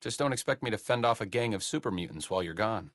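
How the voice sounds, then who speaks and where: Sardonic middle-aged male doctor for a video game; lowish, lively pitch, dry close studio read. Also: fast, no room sound. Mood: wry. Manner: dry, sarcastic wit. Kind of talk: video game companion voice lines. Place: studio booth. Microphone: close studio mic.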